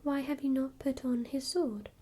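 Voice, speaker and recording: A bold, cheeky boy pipes up in a high, breathy whisper, voiced by a woman. The tone stays mellow and soft. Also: fairly even intonation, moderate pace.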